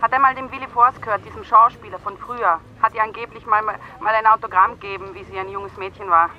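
A man playing a chatty care-home worker, high-voiced and quick. He comes through a lo-fi phone line with faint noise, in a radio play. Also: fairly even intonation, close to an everyday voice. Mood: brisk, helpful.

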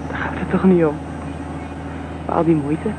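An actress playing a wry, reserved but warm young mother in a Dutch radio drama. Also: low-pitched, steady tone, moderate pace, natural voice. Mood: wry, hesitant. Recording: loud vehicle noise behind voice.

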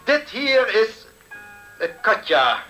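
A vain male fashion designer in a seventies radio drama. His voice is high and swoops dramatically, pompous and indignant, with music behind him. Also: very animated, slow, pushed and bright, full character voice, poor audio. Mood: grandly presenting. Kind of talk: 1970s Dutch radio play acting.

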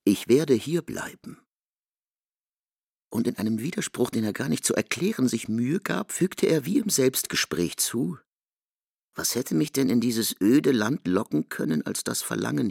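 A man's voice: medium pitch, creaky, no room sound, clean studio sound, full character voice. An actor playing a stubborn, brooding newcomer arguing with his lover in a German radio drama, subdued, intimate and insistent.

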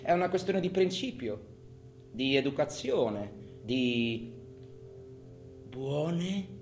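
A man's voice: mid-range voice, sing-song, extremely slow. An actor playing a taunting, possessive male criminal in a theatrical monologue, with a sneering, foul-mouthed, volatile manner. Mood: menacing, insistent. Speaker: stage actor. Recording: echoey room, music under the voice.

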